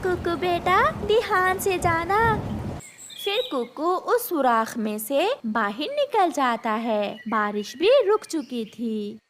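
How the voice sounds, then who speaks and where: Slow-paced woman narrating a children's story. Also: a little high. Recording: clear background noise.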